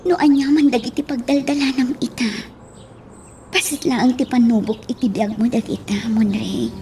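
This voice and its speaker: A woman's voice: a little high, fairly even intonation, moderate pace, mellow, recorded from a distance, slight background noise, lightly acted. A woman playing a worried, pleading woman who consoles someone in a radio drama. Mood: anxious, consoling.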